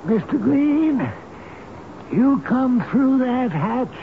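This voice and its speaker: A man's voice: extremely high pitch, animated, drawn-out words, full character voice. An actor playing an eccentric, folksy old elevator man in a radio drama, talking tenderly to his machine. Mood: pleading, panicked. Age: older. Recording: noisy, dull lo-fi radio drama recording.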